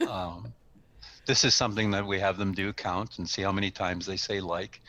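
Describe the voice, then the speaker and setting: A man's voice: sits low, fairly even intonation, moderate pace, a crowd in the background. Encouraging man coaching on public speaking. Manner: encouraging, repeats his points for emphasis. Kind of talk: conversational advice.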